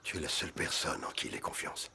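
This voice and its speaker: A man playing a stern, grave old Gaulish father-in-law in an animated historical drama, with a gravelly voice. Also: fast talker, slight background noise. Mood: pressing. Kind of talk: animated docudrama acting in French.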